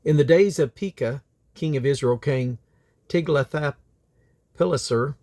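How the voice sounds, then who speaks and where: A man reading the Bible aloud in a solemn, deliberate, phrase-by-phrase delivery. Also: fairly high pitch, mellow. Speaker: scripture reader.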